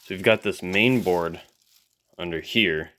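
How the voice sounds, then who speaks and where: A man's voice: low-pitched, measured pace, fairly bright tone, a little hiss or hum. A man giving casual, off-the-cuff hands-on commentary as a hobbyist video host, musing and thinking aloud as he goes.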